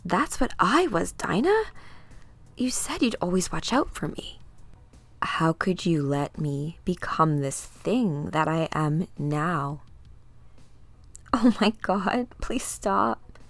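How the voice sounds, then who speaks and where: A woman voicing an anguished young woman who pleads brokenly in a comic book reading, with a breathy, halting delivery. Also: on the low side, big pitch swings, no room sound, exaggerated voice.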